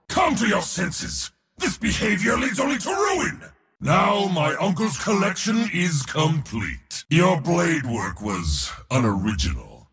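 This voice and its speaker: An actor playing a boastful, battle-hungry god of war in a video game, with a raspy, gravelly voice and a pompous, taunting, theatrical delivery. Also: male voice, a bit bright, in a large hall, exaggerated voice. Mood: furious, commanding. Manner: pompous, theatrical, taunting, swinging between bellows and low sneers. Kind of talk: video game hero voice lines.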